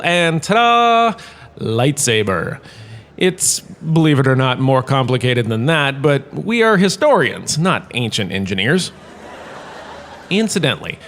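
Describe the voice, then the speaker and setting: An actor playing a vain, washed-up star turned professor, lecturing in a pompous, theatrical, self-satisfied way for a comedic audio drama. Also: male voice, medium pitch, a bit bright. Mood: lecturing, smug.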